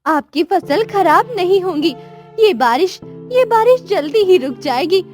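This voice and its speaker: A devoted, anxious village wife played by a woman narrator speaks very high, pleading and respectful. She encourages her husband in a storybook drama, with faint music behind. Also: animated, unhurried. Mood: reassuring. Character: worried, devoted village wife of a poor farmer. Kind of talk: animated moral story dialogue.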